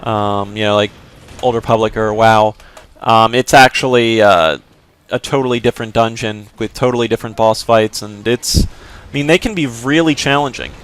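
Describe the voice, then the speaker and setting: A young man giving casual, rambling commentary while he plays a video game, with lots of fillers and sudden shouts. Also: lowish voice, normal speed, hardly any pauses, clear, resonant tone. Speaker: gameplay video host. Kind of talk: casual let's play commentary.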